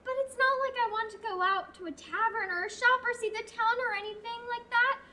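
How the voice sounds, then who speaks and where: A teenage actor playing a curious, earnest young novice in a student radio play. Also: female voice, very high-pitched, steady tone, fairly quick, sharp tone, slight background noise. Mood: pleading. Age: teens. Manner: earnest and pleading.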